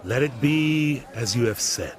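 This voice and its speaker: An actor playing a shrewd, calculating older patriarch with a deliberate manner in an audio Bible drama. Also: male voice, medium pitch, animated, crowd noise, close to an everyday voice. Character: shrewd older herd-owning father-in-law, slow and calculating.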